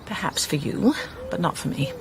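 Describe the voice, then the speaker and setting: A woman's voice: normal speed, natural voice. An actress playing a cool, haughty, unhelpful woman in a radio drama, cutting and scornful. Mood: cool disdain. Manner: icy, cutting, disdainful. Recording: noisy background with clicks.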